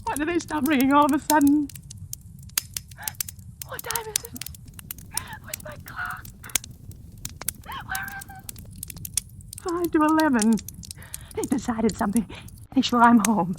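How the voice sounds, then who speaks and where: A woman's voice: sing-song, unhurried, pauses often. An actress playing an imperious married American woman, terrified and alone, in melodramatic 1940s radio suspense style. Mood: anxious, suspicious. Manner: shrill, demanding, melodramatic, breaking into sobs. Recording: noisy old radio recording.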